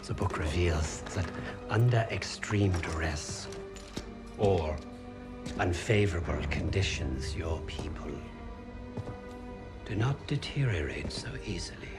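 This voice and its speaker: An actor playing a coldly polite, bookish Nazi German officer in a war game, speaking in a measured, formal, menacing way with a German accent. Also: male voice, deep voice, lively intonation. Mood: quiet menace. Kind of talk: game cutscene acting. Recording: music under the dialogue.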